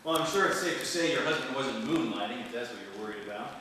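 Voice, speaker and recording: An actor playing a wry, smooth-talking hardboiled private detective in the manner of an old radio serial. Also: male voice, medium pitch, expressive, fast talker, bright, forceful tone. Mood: wry, businesslike. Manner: wry, flirtatious, world-weary patter. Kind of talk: 1940s detective radio serial acting, noir narration. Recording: roomy distant hall recording, faint noise.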